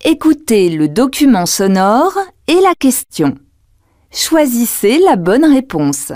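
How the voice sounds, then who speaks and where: Female exam narrator, higher voice, very dry recording, unhurried and precise delivery. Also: big pitch swings, mellow, no echo. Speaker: language test narrator. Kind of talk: scripted exam narration and dialogue reading.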